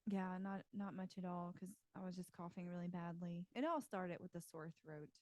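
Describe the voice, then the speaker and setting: A woman co-hosting a casual podcast chat, her voice a little hoarse from a recent cold, chatty and quick to laugh. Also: lowish voice, no room sound. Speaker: podcast co-host. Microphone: home podcast mic, video recorded.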